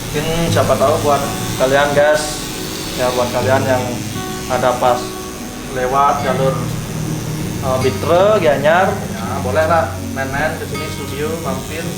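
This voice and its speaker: A man's voice: medium pitch, a little slow. A man with a slight Javanese-tinged accent, a tattoo artist chatting casually with a customer while he works in his studio. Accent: Javanese-tinged Indonesian. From Bali, Indonesia. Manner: relaxed, chatty, laughs often. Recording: echoey room, loud background music.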